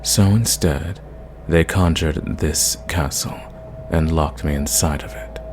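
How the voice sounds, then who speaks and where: Sadistic old vampire master, played by a man: bottomless pitch, unhurried delivery, silky condescension, ASMR roleplay intimacy. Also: over music. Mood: bitter, brooding. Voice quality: deep, gravelly. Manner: theatrical, condescending, savoring words, dropping into breathy whispers. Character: sadistic, possessive centuries-old male vampire, silky and menacing.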